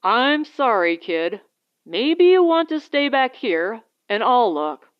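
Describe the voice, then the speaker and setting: Lady reading as gruff protective space captain husband, blunt orders, takes it slow, clean sound. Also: on the high side, sing-song, muffled recording. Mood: apologetic. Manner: instructing, blunt.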